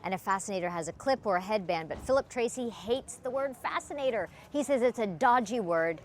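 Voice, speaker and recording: A woman TV reporter presenting an upbeat live feature, chatty and quick to laugh. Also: mid-range voice, animated, a little hiss or hum.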